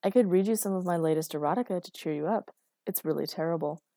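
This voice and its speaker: A wry woman lodger, as a woman narrator plays her, flippant and teasing. She sounds relaxed and amused, in a dry storybook recording. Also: on the low side, quick pace, no echo, lightly acted. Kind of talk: audiobook character dialogue.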